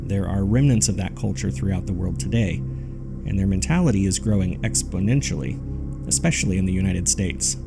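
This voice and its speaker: Casting: earnest paranormal podcast host, lowish creaky voice, measured scripted horror narration over music. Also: male voice. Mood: grave, ominous. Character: earnest male paranormal podcast host reading a listener's ominous letter.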